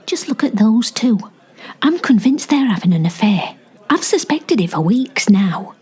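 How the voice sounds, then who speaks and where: A bitter, suspicious woman watching for betrayal, acted out by an actress. She is agitated and jealous, her high voice darting up and down in comedy drama fashion. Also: very animated, a little slow, a little mellow, slightly rough, exaggerated voice.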